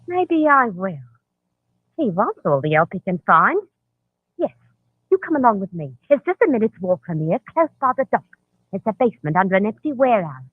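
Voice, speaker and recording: A woman's voice: medium pitch, very animated, moderate pace, slightly rough, no echo. A woman in an old radio drama playing a chatty, conspiratorial underworld recruiter who coaxes and pries. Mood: confiding. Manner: coaxing, inquisitive. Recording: tinny lo-fi vintage radio recording.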